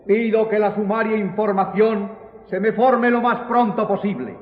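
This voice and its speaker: A man's voice: sits high, normal speed, crowd noise, lightly acted. A man playing a proud, indignant nobleman who defends his honour in grandiloquent, declamatory radio drama style. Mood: indignant, defiant. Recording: echoey hall, thin narrow-band sound.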